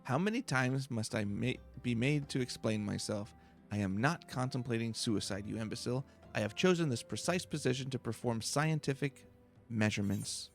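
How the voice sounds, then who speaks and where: A man voicing a self-important, florid student researcher in a video game, theatrical and excitable. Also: mid-range voice, moderate pace, gravelly, soft background music, natural voice. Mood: irritated. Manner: grandiose, alliterative, theatrical. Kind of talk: video game dialogue read aloud.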